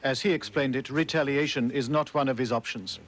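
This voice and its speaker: A man's voice: medium pitch, brisk, slight background noise. Male correspondent, scripted report. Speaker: foreign correspondent.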